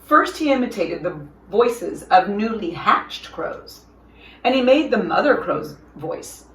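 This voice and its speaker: A woman reading a children's story aloud in an expressive storyteller's style, with deliberate, dramatic phrasing for young listeners. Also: mid-range voice. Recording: roomy echo, distant from microphone.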